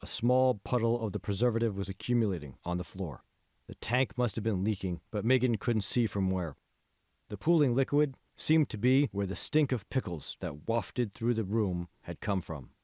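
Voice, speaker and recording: A man reading scripted fiction as an audiobook narrator, in a measured, phrase-by-phrase way. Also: fairly low pitch, lively intonation, darkish tone, dry recording, clean studio sound. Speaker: author reading his own audiobook.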